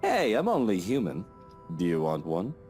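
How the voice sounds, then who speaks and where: A sardonic husband in a visual novel, fond of a drink and full of self-pity. He drawls and needles with condescending sweetness, his pitch sweeping up and down over a music backing. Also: huge pitch swings, normal speed, darkish tone. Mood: placated, languid. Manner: drawling, needling, mock-affectionate.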